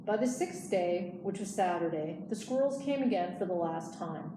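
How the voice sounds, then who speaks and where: A woman's voice: on the low side, expressive, normal speed. Woman reading a storybook, echoey room. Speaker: teen librarian, storyteller. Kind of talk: storybook read-aloud for children. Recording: echoey room, clear background noise.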